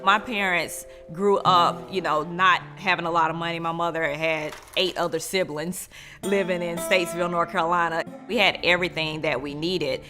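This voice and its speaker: A woman's voice: fairly low pitch, fairly even intonation, normal speed, over music. A woman in her forties telling her story earnestly in a documentary interview. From United States.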